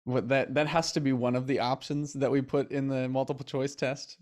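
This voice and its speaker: Man chatting casually in a middling pitch. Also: level tone, a little fast, darkish tone. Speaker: voice actor, panel guest. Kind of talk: casual excited chat. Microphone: video call.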